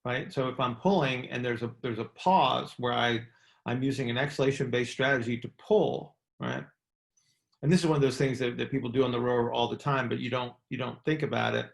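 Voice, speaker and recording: Male coach, fry-edged voice, explanatory and conversational, often checking understanding; roomy, echoing sound. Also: mid-range voice, fairly quick. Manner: explanatory, checks in with rhetorical questions. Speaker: coach and mentor hosting a group call. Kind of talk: conversational expert advice. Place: indoor room, on a conference call.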